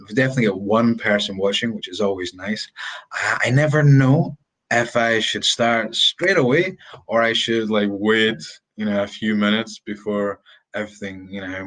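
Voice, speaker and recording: A Scottish man in his thirties giving a live-streamed pronunciation lesson, chatty and rambling, repeating words and sounding them out slowly. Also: fairly low pitch, a bit bright, low-quality recording. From Scotland. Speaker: English teacher. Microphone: phone or webcam on a live stream.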